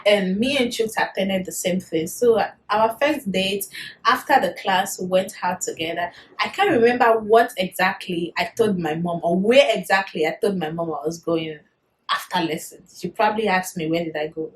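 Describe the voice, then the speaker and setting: A woman in her thirties with a Nigerian accent, chatting to camera in a casual vlog, animated and quick to laugh. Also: medium pitch, low-quality recording. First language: Yoruba. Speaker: vlogger.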